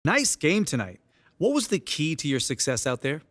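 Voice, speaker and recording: A friendly male sports journalist in a game cutscene. His voice is a bit high, with animated pitch, as he asks interview questions. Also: normal speed.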